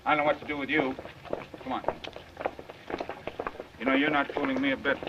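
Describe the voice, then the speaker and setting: A man's voice: mid-range voice, monotone, normal speed, close to an everyday voice. An actor playing a brash, flirtatious, stubbornly protective newspaperman with an American accent, bantering in slangy, persistent 1930s film style. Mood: teasing. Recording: muffled, hissy 1930s film soundtrack.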